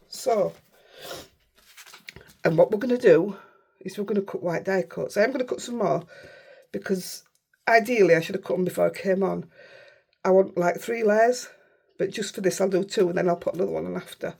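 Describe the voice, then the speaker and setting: Croaky, hoarse woman with a Yorkshire accent. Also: mid-range voice, normal speed, long pauses, a little mellow. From Yorkshire, England. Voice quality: croaky, rough voice. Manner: chatty, rambling, self-interrupting. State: unwell, hoarse. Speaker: craft channel host. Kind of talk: casual crafting tutorial.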